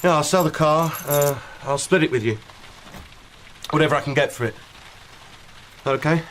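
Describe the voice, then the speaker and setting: A man's voice: fairly high pitch, normal speed, a bit bright, close to an everyday voice, low-quality recording. A man playing a bitter, hurt young man. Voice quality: sarcastic, clipped. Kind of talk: radio drama. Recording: faint rain noise behind. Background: rain.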